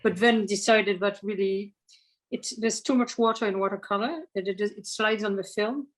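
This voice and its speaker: A woman's voice: medium pitch, normal speed, poor audio. Hesitant woman student. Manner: hesitant, searching for words. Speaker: art student. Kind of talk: casual chat.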